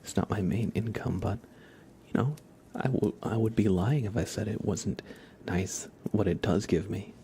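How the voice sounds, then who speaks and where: A man hosting an ASMR livestream, speaking softly and breathily in a gentle, soothing, unhurried way, often slipping into a whisper. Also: fairly low pitch, slight background noise. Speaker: livestream host. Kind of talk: ASMR livestream, chatting to viewers.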